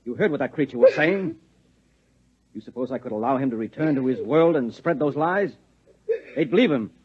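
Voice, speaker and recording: A hard, controlling husband in an old radio play, with a husky mid-range voice. He is clipped and insistent, on a dull 1950s recording. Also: medium pitch, sing-song, brisk. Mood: defensive, stern. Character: hard, controlling government husband with grim secrets. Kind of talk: 1950s radio drama acting.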